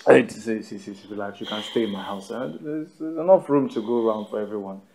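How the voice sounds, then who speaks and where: A man with a Nigerian accent acting an easygoing, excitable friend in a screen drama, often repeating words in a stammer. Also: lowish voice, fast, natural voice. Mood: reassuring. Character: sympathetic, excitable male friend, easygoing and generous.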